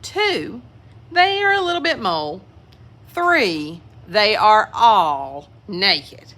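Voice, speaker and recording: A woman's voice: mid-range voice, very animated, slow, a bit bright. A woman reading a children's picture book aloud expressively, with asides to young listeners. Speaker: children's story reader.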